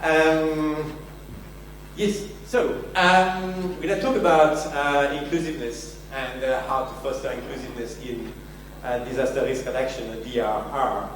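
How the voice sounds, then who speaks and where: A man's voice: mid-range voice, fairly even intonation, unhurried. A man with a French accent giving a conference keynote, joking and self-deprecating as he talks to the audience. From France. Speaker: keynote speaker. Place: large conference venue. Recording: very echoey hall, distant mic, faint hum.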